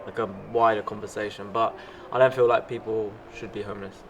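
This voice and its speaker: A man's voice: on the low side, level tone, fast, muffled recording. A young man chatting casually and earnestly as a fundraiser and host. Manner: earnest, informal. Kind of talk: casual chat. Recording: faint outdoor traffic noise.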